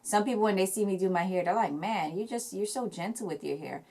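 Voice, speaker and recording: A woman's voice: mid-range voice, a little fast, a little mellow. A young woman with a Jamaican accent, chatting casually to camera about her hair care, with lots of fillers and restarts. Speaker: hair vlogger.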